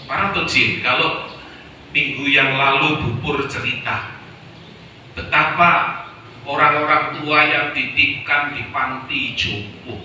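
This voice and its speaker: A man's voice: mid-range voice, steady tone, bright, forceful tone, recorded from a distance, slight background noise. Man preaching in an echoey hall. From Solo, Central Java, Indonesia. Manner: storytelling sermon, slow stressed key words, mixes in local phrases. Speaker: Confucian preacher. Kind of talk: sermon. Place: temple hall.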